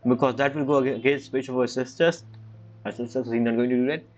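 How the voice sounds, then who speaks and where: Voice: mid-range voice, fairly even intonation, fast, a little mellow, highs cut off. A game streamer giving casual, blunt, scoffing commentary in informal, loosely grammatical speech. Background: game music.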